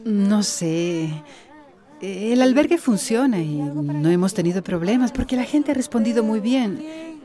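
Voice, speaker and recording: An actress plays a kindly young woman carrying worries. Her medium-pitched voice confides with small sighs, in a radio novela with a clear crowd bed behind. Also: animated, unhurried, natural voice. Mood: worried, confiding. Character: worried, candid pregnant woman, kindly community member. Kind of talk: radio drama acting.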